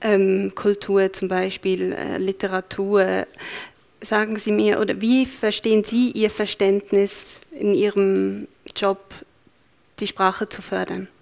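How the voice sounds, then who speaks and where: A woman's voice: mid-range voice, level tone, takes it slowly, vocal fry, a little mellow. A woman with a Swiss accent moderating a panel discussion and asking long, winding questions. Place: event room with large windows.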